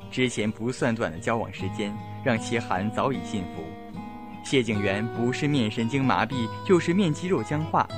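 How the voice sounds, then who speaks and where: A man's voice: medium pitch, close to an everyday voice. A man playing the storyteller, giving scripted narration in a radio drama. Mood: wry narrative. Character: male storyteller of a school romance.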